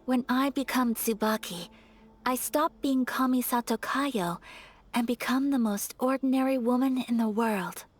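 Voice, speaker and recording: A woman playing a refined young noblewoman in a video game, gentle and earnest, speaking softly in formal, measured phrases. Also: on the high side, slight background noise, lightly acted. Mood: reflective. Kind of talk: video game character acting. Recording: soft music behind the voice.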